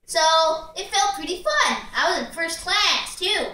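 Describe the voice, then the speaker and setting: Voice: very high-pitched, big pitch swings, unhurried, sharp tone, heavily acted. A performer voicing a playful, silly sock puppet celebrity in simple, improvised interview answers. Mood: cheerful. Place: living room, puppets behind a couch. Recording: echoey room, far from the mic.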